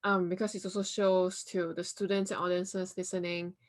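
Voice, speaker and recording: Female interview host with a lowish voice. Conversational and affirming, asks open questions. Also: level tone, moderate pace. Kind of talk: university interview show.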